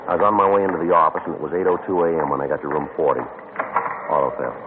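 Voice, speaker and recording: A terse, matter-of-fact detective sergeant in an old radio drama, speaking quickly with a very deep voice. It sounds thin and lo-fi with hiss, like a vintage broadcast. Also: male voice. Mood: flat. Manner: clipped, deadpan and matter-of-fact. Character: terse male police detective sergeant, middle-aged. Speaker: detective narrator. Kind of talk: 1950s radio drama acting.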